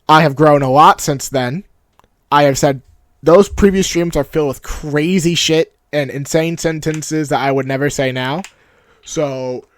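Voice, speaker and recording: A young man with a deep voice looking back on a game, talking casually and nostalgically with self-mocking asides. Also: no echo, clean studio sound. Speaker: YouTube creator. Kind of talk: reflective personal vlog narration.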